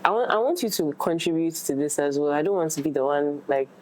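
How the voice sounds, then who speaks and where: A woman's voice: sits low, lively intonation, fast talker, darkish tone, low-quality recording. A woman chatting casually and playfully as an actress in a discussion. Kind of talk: casual chat. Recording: noticeable background noise.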